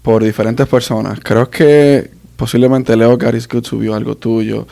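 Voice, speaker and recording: A man hosting a casual interview, asking relaxed, curious questions. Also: mid-range voice, measured pace, gravelly. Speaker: host.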